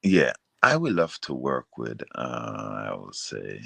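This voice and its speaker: Very deep-voiced man. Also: very animated, slow pace, vocal fry, fairly bright tone, husky, no echo. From Caribbean, outside Jamaica. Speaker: reggae singer being interviewed. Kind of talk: casual interview, recounting a career.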